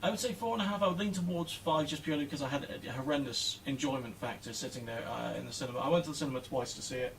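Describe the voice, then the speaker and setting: A man's voice: mid-range voice, racing, hardly any pauses, a little hiss or hum. Welsh man chatting, far from mic. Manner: chatty, stumbling restarts. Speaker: co-host film reviewer. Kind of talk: casual chat. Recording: distant mic with faint music.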